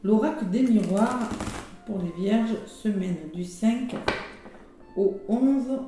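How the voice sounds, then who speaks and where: A hoarse woman giving a casual weekly astrology card reading, chatty and full of fillers and exclamations. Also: a little high, slow. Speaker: tarot and oracle card reader. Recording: very echoey room with faint music.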